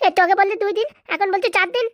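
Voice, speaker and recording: A man's voice: extremely high pitch, very fast, a bit bright, dry recording, clean studio sound. A man voicing a pert, argumentative youngster who runs errands, cheeky and insistent, in a comedy cartoon. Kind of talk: comedy cartoon dialect acting.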